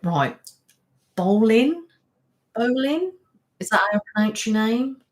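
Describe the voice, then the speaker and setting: A soft-spoken woman chats casually on her ASMR live stream. She greets her viewers by name and answers their questions in a relaxed, rambling way, with plenty of fillers. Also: on the high side, slow pace. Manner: chatty, reads and greets viewers' comments. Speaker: ASMR channel host.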